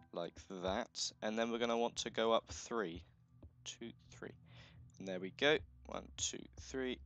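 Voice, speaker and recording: Low-voiced, croaky young man narrating gameplay. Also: fairly bright tone. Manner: chatty, self-correcting, thinking aloud. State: croaky from just waking up. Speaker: let's play host. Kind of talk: casual gameplay commentary.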